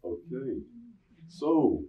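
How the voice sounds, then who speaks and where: A man hosting an interview and asking calm, probing questions. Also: mid-range voice, extremely slow. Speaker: host. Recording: roomy, distant, dull mic.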